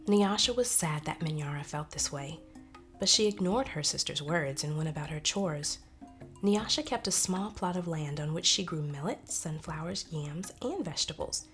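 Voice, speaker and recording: A woman narrating a children's storybook in an expressive, careful way. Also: deep voice, normal speed, soft background music.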